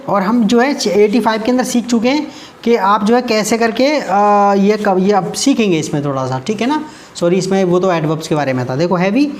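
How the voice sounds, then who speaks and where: A man with an Indian English accent teaching a grammar lesson in Hindi. He talks patiently, repeats the key terms and asks quick questions. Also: sits high.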